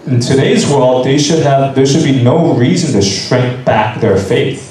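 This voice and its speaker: A man's voice: mid-range voice, a little slow, a little mellow. A man interpreting a sermon into English phrase by phrase, with occasional restarts. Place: church hall. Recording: very echoey hall, distant mic, faint hiss.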